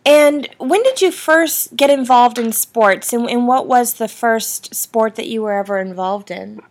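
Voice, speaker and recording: Brief: woman archive interviewer, slightly high voice, steady and formal. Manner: measured, formal questioning. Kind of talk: oral history interview.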